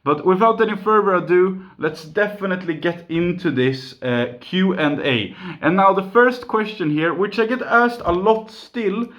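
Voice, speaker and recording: A man's voice: high voice, normal speed, fairly bright tone, lo-fi sound. A man in his twenties with a Swedish accent, chatting casually in a vlog recorded on a phone at home, with many fillers and restarts. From Sweden. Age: 20s. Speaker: YouTuber. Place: home room. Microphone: phone camera.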